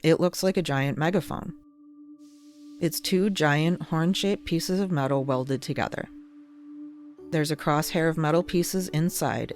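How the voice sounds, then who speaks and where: A narrator reading a scripted documentary piece in a measured, explanatory way. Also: mid-range voice, a little mellow. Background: ambient chill-out music.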